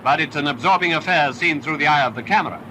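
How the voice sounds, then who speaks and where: A man narrates a wartime film with an emphatic, patriotic delivery. His voice sits slightly high. The sound is an old restored film reel with steady hiss and crackle. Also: fast.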